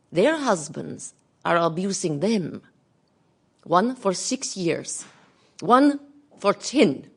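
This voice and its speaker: A Russian woman, a researcher turned activist. She is earnest and determined, with a very animated, mid-pitched delivery in a radio drama monologue. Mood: grave, troubled. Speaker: actress in an audio play.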